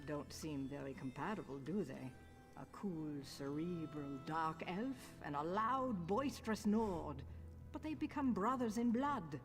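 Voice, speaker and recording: A knowing, wry guild lore-keeper from a fantasy game, with a lowish voice and a deliberate storytelling manner. Quiet music sits underneath. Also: female voice, animated.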